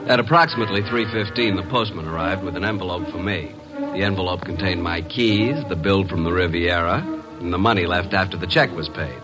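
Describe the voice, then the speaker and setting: Man acting a wisecracking private-eye assistant, very low voice, sardonic, old radio drama, music underneath. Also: fairly quick, lightly acted. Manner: dry, sardonic, clipped. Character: wry, cynical wisecracking male detective's assistant. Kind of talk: 1950s radio drama first-person narration.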